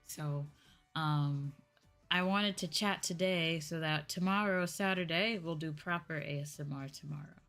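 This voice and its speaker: A woman's voice: low voice, measured pace, fairly bright tone. A woman livestreamer chatting casually with her viewers, with music playing behind her. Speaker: livestreamer, VTuber host.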